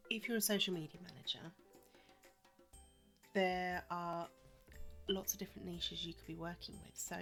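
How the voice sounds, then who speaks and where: A woman talks through her solo podcast in a lowish, slightly wheezy voice, still getting over a cold. She's chatty and reassuring, with plenty of ums. Faint music sits under her voice. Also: steady tone, normal speed, pauses often, a bit bright. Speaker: podcast host.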